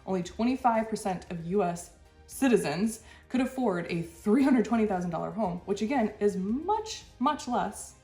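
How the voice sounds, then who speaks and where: A stuffy-nosed woman hosts a reaction video, frank and exasperated, breaking into short laughs between points. Her voice sits at an ordinary middle pitch. The room rings with echo, and faint music plays underneath. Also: lively intonation, moderate pace, a little mellow. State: stuffy from a cold. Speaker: channel host. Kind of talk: reaction commentary.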